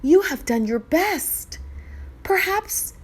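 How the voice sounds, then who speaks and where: A woman voicing a gentle, proud mother consoling her son in a storybook read-aloud, soothing and tender. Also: extremely high pitch, very animated, slow pace, pitched above the performer's own voice, exaggerated voice.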